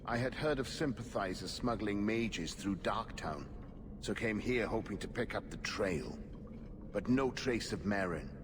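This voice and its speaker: Low-voiced, weary aging knight. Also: male voice, expressive. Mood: earnest. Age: middle-aged. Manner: formal, earnest, measured. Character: weary aging templar knight, formal and discouraged. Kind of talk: video game dialogue acting. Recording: clear noise behind the voice.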